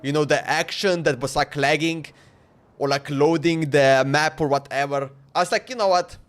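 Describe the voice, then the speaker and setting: A man's voice: on the high side, fairly even intonation, moderate pace. A man chatting casually on a game livestream in an informal, slangy, repetitive way. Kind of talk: casual stream chat.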